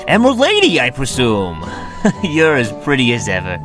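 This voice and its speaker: An actor playing a brash, bossy, smooth-talking older male cop in a 1920s radio play. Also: mid-range voice, wildly swooping pitch, normal speed, music in the background. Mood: smooth, charming.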